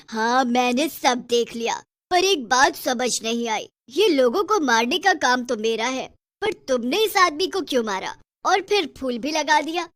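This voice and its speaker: Cartoon horror witch, played by a woman: high, gloating, bossy, taunting cackler, dry close sound. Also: animated, a little slow, a bit bright, no room sound. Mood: menacing, taunting. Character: gloating, bossy, selfish female witch who kills people. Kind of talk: Hindi horror cartoon story acting.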